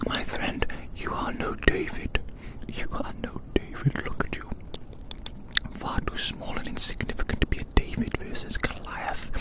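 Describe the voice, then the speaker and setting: A gloating giant speaks in a breathy whisper with no voiced tone, as in an ASMR roleplay. The delivery is playfully menacing and savouring, with phrases repeated as the giant toys with tiny prey. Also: rough recording. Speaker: ASMR performer.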